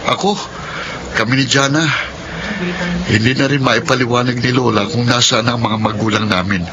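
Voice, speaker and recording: A man's voice: medium pitch, fairly even intonation, normal speed, highs cut off, a crowd in the background, lightly acted. A man with a Filipino accent playing an earnest, hard-up college student in a radio drama. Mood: wistful. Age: young adult. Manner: pleading, respectful. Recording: noisy radio drama with ambience.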